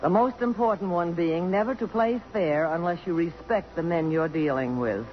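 Actress as a defiant, sharp-tongued American career woman. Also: on the low side, moderate pace, faint music behind, close to an everyday voice. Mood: cold, cutting. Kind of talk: 1950s radio drama acting. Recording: dull, noisy old radio broadcast recording.

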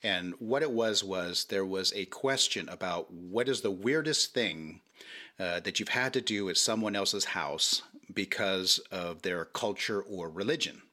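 A middle-aged man with a low voice and a bright, forceful edge. He speaks as a podcast guest, stumbling through restarts and fillers. He keeps his explanation careful and diplomatic. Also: measured pace. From Hawaii. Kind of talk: casual podcast chat.

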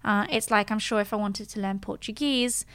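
A woman's voice: medium pitch, fairly even intonation, moderate pace, a bit bright, smooth, no echo. A young woman chatting casually and telling personal stories in a playful, candid way. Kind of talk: casual chat, personal anecdotes.

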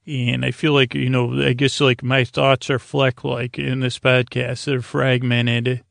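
A man with a creaky voice hosting a sleep podcast, talking in a meandering, halting, gently goofy and lighthearted way. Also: medium pitch, flat delivery, no echo, studio quality. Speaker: podcast host. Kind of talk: rambling, informal sleep podcast monologue.

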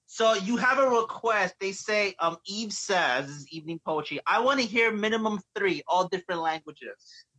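A man's voice: high-pitched, animated, normal speed, hardly any pauses, pushed and bright, breathy edge, low-quality recording. A man hosting a live poetry stream, chatting in a rambling, friendly way with lots of fillers. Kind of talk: casual live-stream chat.

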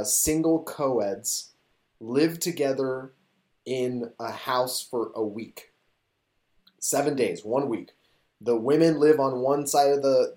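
A man in casual chat, retelling a show in an animated, rambling, excitable way. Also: mid-range voice. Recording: echoey room.

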